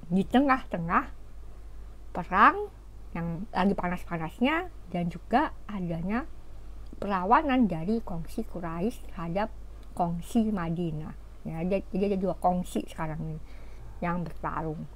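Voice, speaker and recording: A woman's voice: fairly low pitch, animated, measured pace, fairly bright tone, poor audio. A woman giving an informal history talk, explaining in a conversational way with rhetorical asides. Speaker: history storyteller. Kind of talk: informal spoken history lecture.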